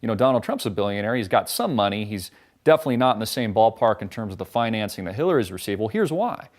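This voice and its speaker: A man's voice: lowish voice, expressive, fast. Sarcastic ranting man. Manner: sarcastic, combative, profane. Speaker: political commentary host. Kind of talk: ranting political commentary.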